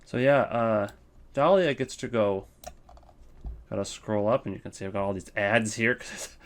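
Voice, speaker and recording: A man giving casual, rambling commentary on a ranking list as a video host. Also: fairly low pitch. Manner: rambling, informal.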